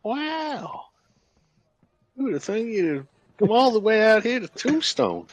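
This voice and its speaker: A man playing his character in a tabletop game, talking in an excited, animated way. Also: high voice, slow, no echo. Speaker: player.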